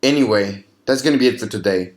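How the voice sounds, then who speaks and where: A man's voice: lowish voice, fast. A man hosting and narrating scripted horror fiction in the form of documents and logs. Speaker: narrator and channel host.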